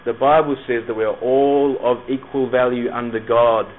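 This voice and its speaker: A man with a softened Scottish accent and a touch of New Zealand, preaching to young people with rhetorical questions, pauses and emphatic repetition. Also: mid-range voice, steady tone. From Scotland, moved to New Zealand as a schoolboy. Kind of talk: evangelistic sermon to young people. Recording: narrow, phone-like lo-fi sound.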